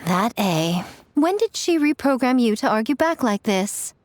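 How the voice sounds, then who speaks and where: A sly, lively, teasing fox-spirit lady. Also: on the high side, unhurried, slight background noise. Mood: playful, wry. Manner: coy, smug, playful, polished. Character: sly, teasing, elegant female fox-spirit shrine maiden. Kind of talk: video game voice acting.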